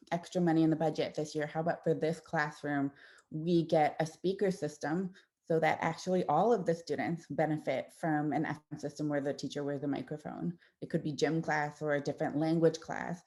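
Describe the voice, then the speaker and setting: A woman in her thirties gives an informal presentation as an audiologist, talking parents through her slides. Her voice is low and mellow, and she speaks conversationally, with plenty of fillers and self-corrections. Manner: conversational, warm explanations with frequent fillers and restarts.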